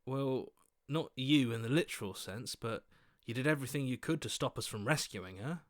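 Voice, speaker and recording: An actor playing an earnest, sensible young hero in a video game, level-headed and mildly sarcastic. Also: male voice, mid-range voice, normal speed, no room sound, studio quality, close to an everyday voice. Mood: dry, accusing. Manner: level-headed, dryly reproachful. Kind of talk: video game character acting.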